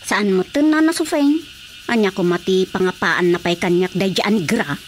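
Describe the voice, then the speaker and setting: A woman's voice: mid-range voice, expressive, normal speed, a little hiss or hum, lightly acted. An actress with an Ilocano accent playing a lovestruck, indignant village woman in a radio comedy drama. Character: lovestruck, indignant woman sure of her suitor.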